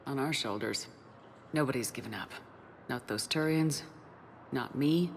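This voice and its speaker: A woman's voice: rumbling low, a little slow, slight background noise. Resolute woman commander, in a reverberant space. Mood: steady. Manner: direct, steady, supportive. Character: composed, resolute female military commander. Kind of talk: video game voice acting. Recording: reverberant hall, music underneath.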